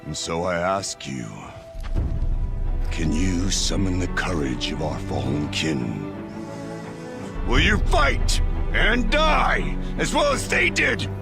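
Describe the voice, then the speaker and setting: A solemn, fierce war chief rousing a tribe of hunters in a game cutscene. The delivery is slow and declamatory, rising and falling hugely. The voice has a creaky grit, with music behind it. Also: male voice, low-pitched, wildly swooping pitch, full character voice. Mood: grim, defiant. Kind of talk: dramatic rallying oration, game cutscene acting.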